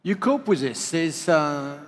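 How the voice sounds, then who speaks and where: Deliberate male executive, echoing hall. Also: fairly high pitch, animated. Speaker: panelist, hotel group executive. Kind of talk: panel discussion. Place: large conference hall stage. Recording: long hall reverb.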